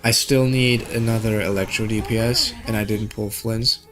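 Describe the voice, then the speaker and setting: A man's voice: on the low side, fairly even intonation, moderate pace. Casual man chatting, faint music behind. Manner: informal, slangy, thinking aloud. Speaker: game streamer. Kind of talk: casual gaming stream chat.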